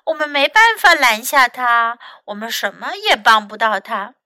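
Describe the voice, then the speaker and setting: A woman voicing a calm, observant boy in a children's storybook, speaking in a measured, matter-of-fact way. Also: fairly high pitch, dry recording, clean studio sound. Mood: resigned, sorry.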